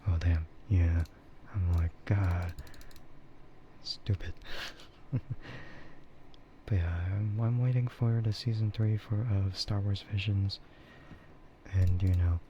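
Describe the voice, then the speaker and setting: Slow, low-voiced man in muffled audio. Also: dark tone. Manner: chuckles often while talking. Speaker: VTuber livestreamer. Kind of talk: casual rambling ASMR livestream chat. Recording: muffled audio with clear background noise.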